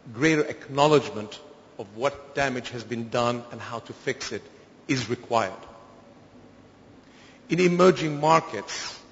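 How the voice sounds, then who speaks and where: A man from Syria making an earnest, emphatic intervention from the floor of a conference, with halting restarts. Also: mid-range voice, fairly bright tone, slight background noise. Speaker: conference participant. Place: large conference hall. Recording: echoey hall, dull lo-fi sound.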